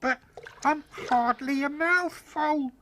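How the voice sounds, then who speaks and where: A man's voice: high voice, normal speed, no room sound, pitched above the performer's own voice. A man voicing a tiny, polite, eager little boy in a fairy tale. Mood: pleading.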